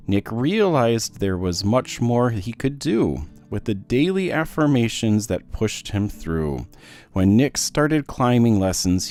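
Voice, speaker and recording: A man reading a children's story aloud as narrator, in an expressive, deliberate manner. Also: lowish voice. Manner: animated, deliberate rhyming delivery for children. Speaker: children's book read-aloud host.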